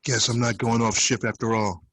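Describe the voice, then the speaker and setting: A man playing a gruff, protective bounty cop in a screenplay table read, husky and cautioning in a familiar way. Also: mid-range voice, fairly even intonation, moderate pace, fairly bright tone, no room sound, close to an everyday voice. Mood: wry, protective. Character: Red, gruff protective male veteran bounty cop partner.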